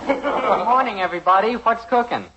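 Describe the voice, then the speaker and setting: A man's voice: sits high, moderate pace, natural voice. A male actor playing a boisterous, literal-minded teenage son in a 1950s radio sitcom. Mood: breezy. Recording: muffled, very noisy old radio recording.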